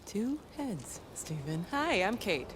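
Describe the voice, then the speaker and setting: An actress with an American accent playing a wry, frustrated wife new to a village, in naturalistic, conversational game drama. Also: female voice, mid-range voice, big pitch swings, takes it slowly. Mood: dryly amused. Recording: noisy ambience behind the dialogue.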